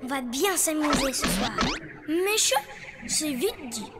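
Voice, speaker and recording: A woman's voice: high-pitched, lively intonation, slow pace, loud music behind the voice. A woman voicing a plucky, earnest little boy superhero in a cartoon, childlike and kind. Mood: plucky, scheming. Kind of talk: cartoon voice acting.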